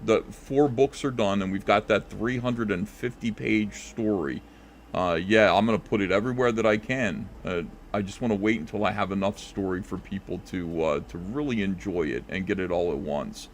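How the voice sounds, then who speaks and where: Easygoing low-voiced man taking calls. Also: moderate pace, a little hiss or hum. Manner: relaxed, thinks aloud with many fillers. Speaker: comic creator answering callers. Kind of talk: casual live call-in chat.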